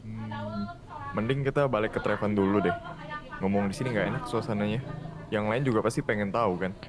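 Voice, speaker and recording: A man playing a calm, reassuring dragon god in human guise in a game roleplay. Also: lowish voice, a little fast, natural voice.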